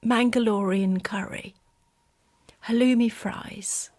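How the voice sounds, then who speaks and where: A woman whispering softly in a breathy voice, playing an attentive air hostess in an ASMR roleplay. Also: on the high side, steady tone, dry recording. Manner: soft, slow, item-by-item listing.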